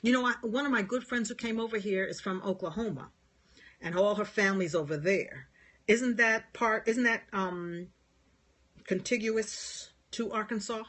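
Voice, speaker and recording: A husky, slightly breathy voice with a New York area accent, talking into a phone on a live stream. The speaker is a spiritual healer who wanders gently from thought to thought, answering viewers' comments. Laughter comes easily between points. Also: mid-range voice, normal speed. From New York and New Jersey. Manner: meandering, gentle, laughs often. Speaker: spiritual healer and Reiki teacher.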